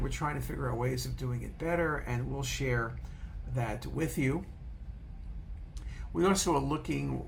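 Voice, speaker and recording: A man delivers an informal, unscripted lecture as a medical educator. His voice sits at a medium pitch with a noticeable creaky, gravelly edge. He rambles a little and circles back to repeat key phrases, over faint room noise. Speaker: radiologist and educator.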